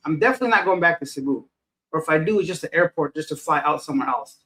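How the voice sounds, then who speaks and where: A man's voice: on the high side, a bit bright, rough recording. A middle-aged man hosting a casual livestream, reading viewers' comments aloud and answering them in a rambling, self-deprecating way. He sounds tired and unfocused. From Afghanistan. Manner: rambling, loses his train of thought, self-deprecating. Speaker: livestream host reading chat. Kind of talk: casual live chat.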